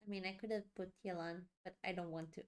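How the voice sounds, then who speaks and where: A woman's voice: lowish voice, steady tone, fast. A young woman, chatting playfully and exclaiming as she live-streams a game. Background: game music.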